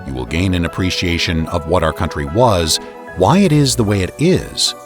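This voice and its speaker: A low-pitched American man delivers scripted narration over music. His phrasing is formal and deliberate, with expressive rises and falls. He has the practised delivery of a voice actor reading historical texts. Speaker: podcast host and narrator.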